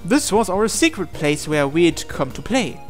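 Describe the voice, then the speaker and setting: Higher-voiced man narrating over music. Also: big pitch swings, measured pace. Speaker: gamer reading a visual novel aloud. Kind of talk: narrated let's play, reading aloud with asides. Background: game music.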